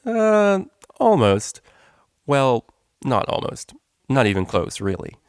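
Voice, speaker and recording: A man's voice: mid-range voice, big pitch swings, takes it slowly, clear, resonant tone, no echo, studio quality, voice pushed up. A man voicing a brash young female unicorn DJ in a slangy, offhand storybook reading. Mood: awkward, flustered.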